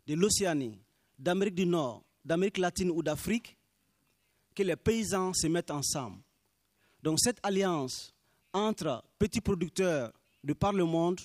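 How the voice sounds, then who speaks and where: A man's voice: on the high side, a little mellow, no echo, clean studio sound. Man giving an earnest formal speech. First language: Minyanka. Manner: earnest, deliberate, emphatic on key phrases. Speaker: speaker for small farmers' movement.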